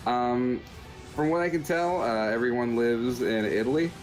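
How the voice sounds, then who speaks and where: A man's voice: mid-range voice, normal speed, loud music behind the voice, rough recording. A man casually commentating over gameplay footage, explaining things in a joking, meandering way. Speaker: podcast host reviewing a video game.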